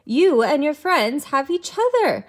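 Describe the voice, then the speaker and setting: Lady doing a cheeky little leprechaun guy for a kids book, high singsong, swoopy. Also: sing-song, unhurried. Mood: cheerful, insistent. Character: mischievous, boastful little male leprechaun who loves candy. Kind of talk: children's storybook read-aloud.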